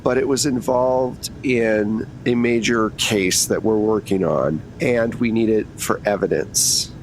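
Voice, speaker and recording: A man's voice: unhurried, natural voice. A man playing a composed, businesslike female FBI agent in her thirties in a tabletop game, matter-of-fact and persuasive. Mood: guarded, explaining. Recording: noticeable low rumbling background noise.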